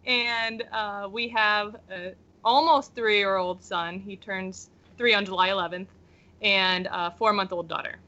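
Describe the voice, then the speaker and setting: Woman, 30s, from Pennsylvania, returning guest; bright, tense tone, giggly; muffled audio. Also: mid-range voice, fairly even intonation, a little slow. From Pennsylvania, United States. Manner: giggly, laughing between statements. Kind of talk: casual interview chat. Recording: slightly dull lo-fi audio.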